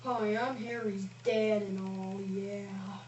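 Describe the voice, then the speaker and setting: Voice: mid-range voice, little pitch movement, slow, a little mellow, reverberant, distant mic, dull audio, slight background noise, natural voice. A woman voicing a mild, easygoing dad with a casual, hesitant way of talking, in a homemade puppet show.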